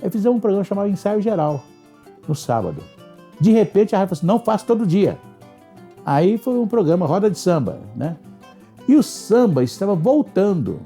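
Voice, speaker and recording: An older Brazilian man, a radio presenter, reminiscing casually in a raspy, gravelly voice. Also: fairly high pitch, mellow.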